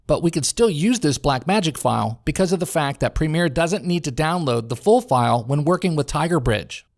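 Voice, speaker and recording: An American male voice-over narrator with a slightly high voice, recorded dry in a home studio. He reads a scripted software walkthrough in a measured, instructional manner. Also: no echo.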